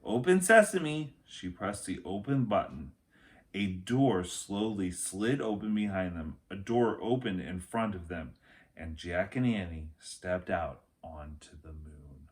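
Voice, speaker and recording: A middle-aged man reading a children's chapter book aloud as a teacher would, with expressive narration. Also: low voice. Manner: slow, expressive narration with acted dialogue. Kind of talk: children's story read-aloud. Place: small room at home, indoors.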